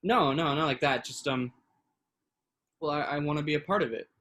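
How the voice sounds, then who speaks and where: A man acting a gentle, dry-humoured young boyfriend in a staged play reading, understated and conversational. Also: on the high side, moderate pace, close to an everyday voice. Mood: earnest.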